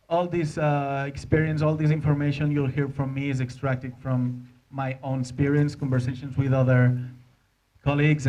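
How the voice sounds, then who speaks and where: A man in his thirties with a Spanish accent gives an informal conference talk as an animator, speaking halting non-native English into a handheld microphone. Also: mid-range voice, darkish tone, poor audio. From Spain. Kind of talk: informal presentation to an audience. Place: indoor hotel conference room.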